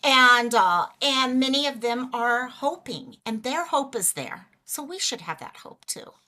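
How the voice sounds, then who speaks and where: A woman hosting a casual livestream, chatty and greeting viewers by name, a little hoarse as she is losing her voice. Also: sits high, animated, unhurried, sharp tone. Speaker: livestream host.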